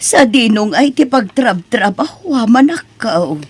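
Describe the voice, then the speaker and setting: A woman playing a worried, caring elderly rural mother in an Ilocano drama, with a husky voice and anxious, pleading manner. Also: on the high side, big pitch swings, a little slow, full character voice. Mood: concerned. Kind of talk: Ilocano radio-style drama acting.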